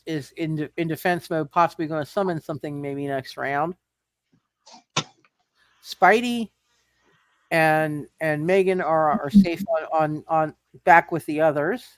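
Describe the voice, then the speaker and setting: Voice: medium pitch, smooth, no room sound. A game master narrating a tabletop roleplay casually, often repeating words and phrases while working out what happens.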